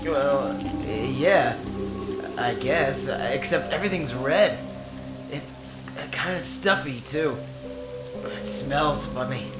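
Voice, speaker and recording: A man's voice: mid-range voice, slow pace, natural voice. A man playing a nervous, bewildered hooded robber in a fan radio drama, stammering and hesitant. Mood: uneasy, dazed. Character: nervous, bewildered failed everyman turned hooded robber, panicking. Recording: echoey, music and rain behind. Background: dramatic music and rain effects.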